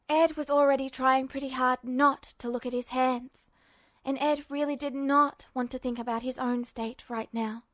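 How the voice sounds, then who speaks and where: High-pitched woman narrating fiction. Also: no room sound. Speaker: podfic narrator.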